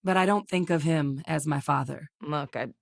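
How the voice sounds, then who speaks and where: Low-voiced, driven military scientist. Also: female voice, normal speed, gravelly, dry recording, studio quality. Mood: earnest, guarded. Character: guarded, driven woman military scientist in a space game. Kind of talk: video game voice acting.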